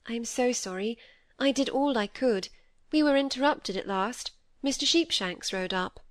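A woman playing gentle young heroine, storybook dialogue, earnest, loyal, apologetic, dry sound. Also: a little high, normal speed, no echo, voice pushed up, natural voice. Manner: earnest, soft-hearted. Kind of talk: acted audiobook dialogue.

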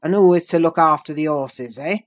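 A woman's voice: low-pitched, a little fast, close to an everyday voice. A woman voicing a stern, inhospitable male master of a farmhouse in a classic novel, curt, harsh and commanding. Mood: angry.

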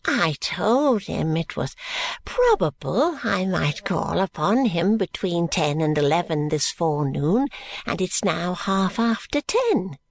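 A woman acts out a spiteful old man of money in a storybook tale. The voice swoops up and down, slow and breathless, sliding from fawning endearments to sour curses. It is recorded very dry. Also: lowish voice, very animated, dry recording, heavily acted. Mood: wheedling.

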